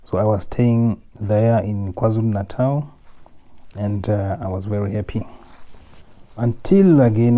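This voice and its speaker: A man from Zimbabwe with a Zimbabwean accent, speaking slowly and reflectively in a personal vlog, often repeating himself. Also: fairly low pitch, mellow. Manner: slow, measured, repeats himself. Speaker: YouTube vlogger.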